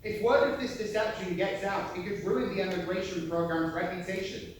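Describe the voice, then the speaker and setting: An actor playing an authoritative officer on an emigrant ship in a stage play, formal and commanding. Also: male voice, sits high, steady tone, a little fast, lightly acted. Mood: formal, firm. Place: theatre stage, picked up from afar. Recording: echoey hall, distant mic, faint noise.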